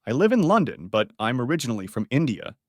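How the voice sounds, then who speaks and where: A man teaching beginner English, reading short model sentences clearly and saying each one twice. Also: medium pitch, sing-song, dry recording. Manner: says each phrase twice, clearly and deliberately.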